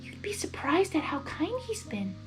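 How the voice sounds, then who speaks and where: A woman voicing a gentle, timid female pegasus pony with a soft, breathy, hesitant delivery. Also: high-pitched, sing-song, moderate pace, soft background music, voice pushed up. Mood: gently pleased. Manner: hesitant, shy.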